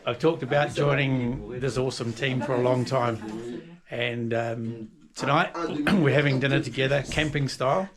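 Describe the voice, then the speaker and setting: A man's voice: mid-range voice, steady tone, moderate pace. A man hosting a travel vlog, talking casually to the camera. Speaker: travel vlogger, host. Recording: noisy outdoor camera audio, wind and chatter.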